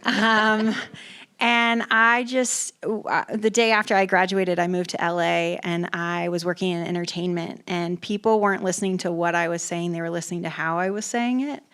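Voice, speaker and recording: A woman's voice: fairly low pitch, fairly even intonation, moderate pace, a bit bright, clear, resonant tone. A woman from Texas, an entertainment executive, speaking casually on a festival panel. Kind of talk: panel discussion.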